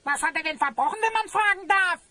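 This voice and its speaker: A woman's voice: very high voice, fast talker, sharp tone. A woman playing a cheeky, plucky young sidekick in an audio drama, with a pert, exclamatory delivery. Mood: curious. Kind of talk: German audio drama acting.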